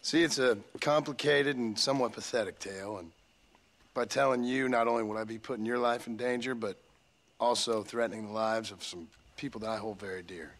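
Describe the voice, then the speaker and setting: A man's voice: medium pitch, fairly even intonation, a little fast, fairly bright tone, lightly acted. A man voicing a terse, wounded gunslinger, dry and polite, guarded in his words. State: recovering from a gunshot. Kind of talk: video game cutscene dialogue.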